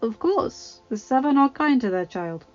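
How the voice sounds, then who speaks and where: A woman's voice: on the high side, lively intonation, normal speed, darkish tone, faint music behind, pitched above the performer's own voice, natural voice. A pious holy man intones his prayers in a solemn, raised voice, as a woman puts him on. The sound is a touch dull. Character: pious male religious leader, solemn.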